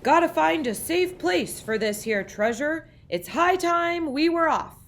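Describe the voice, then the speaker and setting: A woman's voice: sits high, expressive, unhurried, slight background noise, pitched above the performer's own voice. A woman voicing a blustery, bellowing male pirate captain in a storybook read-aloud, with hearty, commanding pirate talk. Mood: commanding shouts.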